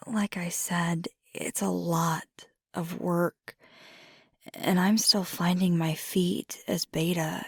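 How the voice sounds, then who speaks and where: A low, gravelly, breathy woman acting as a young werewolf girlfriend in an ASMR roleplay. She speaks softly and unhurriedly, often slipping toward a whisper, earnest and hesitant as she confides. The sound is very dry and close. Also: steady tone, takes it slowly, no room sound, heavily acted. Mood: torn, determined. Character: fiery young adult werewolf girlfriend, earnest, torn, tender. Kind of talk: intimate ASMR roleplay, soft half-whispered close speech.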